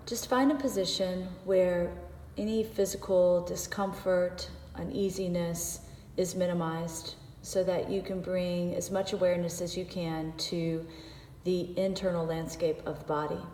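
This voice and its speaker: A woman leading a guided meditation, giving gentle, unhurried instructions with pauses. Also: lowish voice, fairly even intonation. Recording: echoey reverberant room with background noise.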